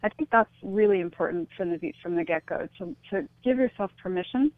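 A woman's voice: fairly low pitch, animated, fairly quick, no echo. A middle-aged woman being interviewed as a radio guest, explaining her thoughts in an earnest, reflective way. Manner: earnest, explanatory, often saying "you know". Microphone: phone or call line into a radio show. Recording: thin phone-like line, dry.

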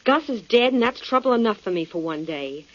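A tough, guarded moll in a 1940s radio crime drama. She is curt and slangy, with a husky voice and lively pitch. The sound is a muffled old broadcast. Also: brisk. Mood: guarded, defiant. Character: tough, guarded gangster's girlfriend, a blonde moll.

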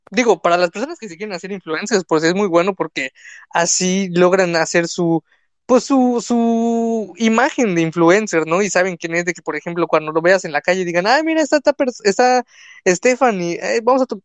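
A man's voice: high voice, clean tone, no echo. A young man from Mexico with a Mexican accent, a content creator chatting casually on a live stream, full of fillers and restarts. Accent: Mexican Spanish. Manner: rambling, many fillers and restarts.

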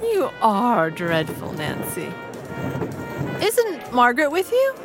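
High female voice, hugely varied intonation, genteel naive lady, proper and earnest, audio drama. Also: wildly swooping pitch, takes it slowly, over music, exaggerated voice. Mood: gently reproachful. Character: Sylvia, genteel, naive, soft-hearted lady, easily shocked.

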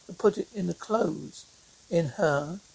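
A reader narrating a folk tale aloud in a halting amateur way, stumbling over words, with a husky, creaky voice. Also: mid-range voice, a little mellow, poor audio. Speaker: storyteller reading a fairy tale.

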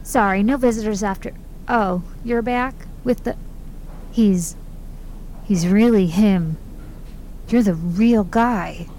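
A woman's voice: medium pitch, takes it slowly, pauses often, mellow, slight background noise. A woman playing a hospital night nurse, curt, hostile, and bitter. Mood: alarmed. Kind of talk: audio drama acting.

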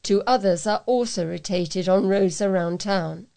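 A woman's voice: mid-range voice, measured pace, vocal fry, mellow. A woman volunteer reading a local newspaper report aloud in a plain way, with the occasional stumble over a word. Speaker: volunteer local news reader.

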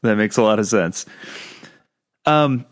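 A man's voice: fairly low pitch, lively intonation, slow pace, no echo. Encouraging male podcast host, dry close sound. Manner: encouraging, gives frequent short affirmations. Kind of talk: casual podcast interview.

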